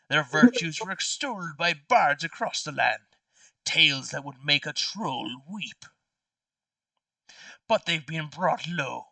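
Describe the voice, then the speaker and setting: A man's voice: fairly high pitch, vocal fry, pushed and bright, dry recording, distant mic, full character voice, low-quality recording. A man playing an aggrieved, pompous nobleman in an amateur game, pleading with theatrical formality. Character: aggrieved pompous nobleman petitioning the king, grave and dramatic. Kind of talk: amateur role-play in a party game.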